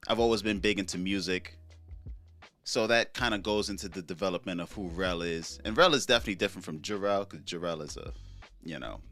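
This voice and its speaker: Young male podcast host from Trinidad, very low voice, loose rambling delivery, faint music underneath. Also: sharp tone. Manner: laid-back, rambling, profane, many fillers. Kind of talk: casual solo commentary.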